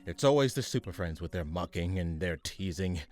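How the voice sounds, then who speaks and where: A man's voice: deep voice, lively intonation, normal speed, darkish tone, faint music behind. A man playing a weary, pompous supervillain mastermind running a meeting in a comedic radio play, businesslike and dryly exasperated. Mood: pompous scheming.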